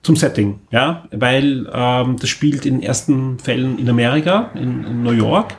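An Austrian-accented man hosts a podcast in a casual, enthusiastic, rambling way. His voice sits at a middle pitch, with faint noise in the background. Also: a little slow. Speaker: podcast host.

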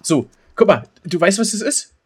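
A man chatting casually as a podcast co-host, repeating phrases excitedly as he explains. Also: high voice, normal speed, husky. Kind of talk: casual chat.

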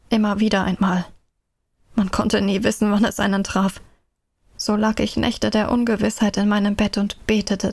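A woman's voice: medium pitch, darkish tone, dry recording, lightly acted. A woman playing an enslaved young woman in a radio play, recounting her captivity in a subdued way.

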